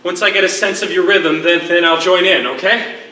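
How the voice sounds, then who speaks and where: A man acting an anguished, defiant imprisoned writer who grieves his wife, speaking in an earnest, halting, poetic way in a stage play. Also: sits high, steady tone, sharp tone, a little hiss or hum. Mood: rising resolve. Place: theatre stage. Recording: echoey stage recording from far off.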